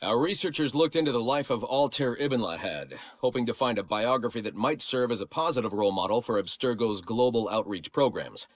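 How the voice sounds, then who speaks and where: An actor playing a smug corporate researcher in a video game, narrating a report in a measured, prim, condescending way. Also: male voice, mid-range voice, expressive, no room sound, studio quality, close to an everyday voice. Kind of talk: scripted narration.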